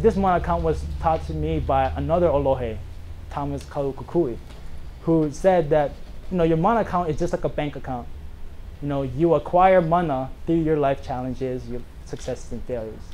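A man in his thirties from Hawaii, telling a casual, earnest personal story to a small audience in a bookstore, animated and full of "you know" fillers. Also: fairly high pitch, a little hiss or hum, poor audio. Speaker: author giving a talk.

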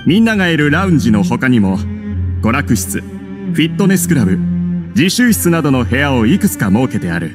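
A man's voice: mid-range voice, sing-song, normal speed, over music, lightly acted. An actor playing an easygoing club guide in an anime game, friendly and informal as he explains. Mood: affable. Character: affable, easygoing adult male club guide. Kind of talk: Japanese anime game voice acting.

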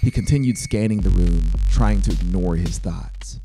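A man in his thirties narrates dramatic fiction in a measured, expressive way, close to the mic. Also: sits low. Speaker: audiobook narrator. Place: small brick-walled home studio. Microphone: close handheld-style mic on boom stand. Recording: music playing behind the voice. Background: music.

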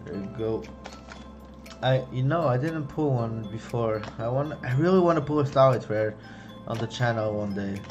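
A young man giving casual running commentary as he opens trading card packs, chatty, excited on big pulls and low on misses, recorded at home on a desk mic. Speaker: card-opening youtuber. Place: home room, indoors.

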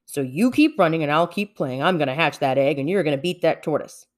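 A woman voicing an earnest, gullible male bear from a storybook, easily excited. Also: sits low, brisk, natural voice. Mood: proud, determined.

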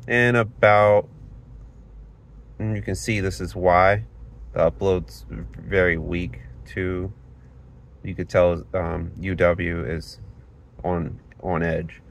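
Deep gravelly man in a parked car. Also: a little hiss or hum. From Florida, United States. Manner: plain and explanatory, reads numbers off the screen. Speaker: phone network tester. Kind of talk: casual talk-through of speed tests. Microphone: handheld phone camera.

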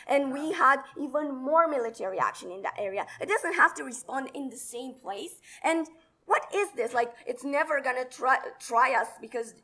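High bright-voiced young woman debater. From Romania. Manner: rapid, combative, rhetorical questions. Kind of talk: competitive debate speech. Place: large indoor conference hall. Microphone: far camera.

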